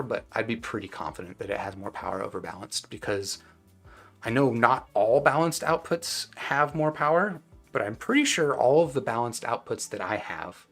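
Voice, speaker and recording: A man's voice: mid-range voice, fairly bright tone. Casual male livestream host over music. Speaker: host of a live-streamed audio gear review. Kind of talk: casual livestream chat, reading and answering viewer questions.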